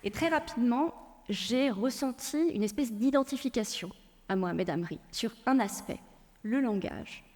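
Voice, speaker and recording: Woman, measured storyteller, fairly high voice, big hall reverb. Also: expressive, darkish tone. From France. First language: Persian. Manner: measured, storytelling delivery with frequent hesitations. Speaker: lawyer and speaker. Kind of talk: prepared public talk.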